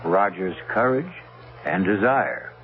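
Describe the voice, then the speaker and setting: A man hosting and narrating a radio mystery drama, in a measured, ominous storytelling style. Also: lowish voice, animated, very noisy. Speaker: host and narrator. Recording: tinny old radio broadcast.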